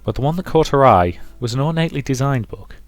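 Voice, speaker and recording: A man's voice: mid-range voice, animated, fast talker. A man hosting a let's play, moving between casual commentary and reading a visual novel's story aloud. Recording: roomy echo with music behind. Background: game music.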